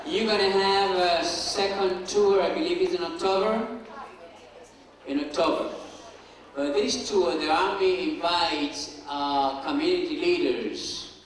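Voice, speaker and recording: A man moderating a school assembly, speaking slowly and deliberately with a slightly high voice. He is heard from afar in an echoey, noisy school auditorium. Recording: echoey hall from far off, noisy.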